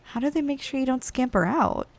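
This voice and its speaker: A woman's voice: sits high, expressive, brisk. A woman playing a weary, snack-craving giantess office worker in a chatty, teasing, conversational ASMR roleplay monologue. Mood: curious, musing.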